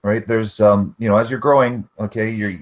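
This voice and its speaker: A man's voice: lowish voice, steady tone, moderate pace. A man in a casual online chat who rambles, filling his talk with "you know" and "right". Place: online voice hangout.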